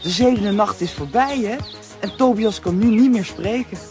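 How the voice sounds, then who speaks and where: A woman voicing a calm, sensible young boy in a children's radio play, reassuring. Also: medium pitch, moderate pace, faint music behind, lightly acted. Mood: soothing.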